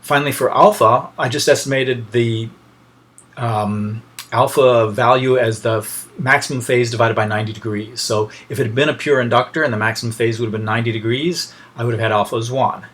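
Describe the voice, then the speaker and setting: A man with a mid-pitched voice delivers an informal technical lesson. He talks like a lecturer working through steps aloud, often pausing mid-sentence to find the next word. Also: steady tone, a bit bright.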